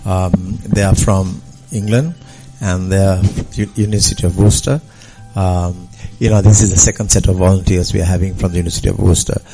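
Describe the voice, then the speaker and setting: A man's voice: sits low, fairly even intonation, a little fast, dark tone, faint music behind, poor audio. A man speaking earnestly on a radio show, with many fillers. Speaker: foundation representative. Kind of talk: speech-like radio talk.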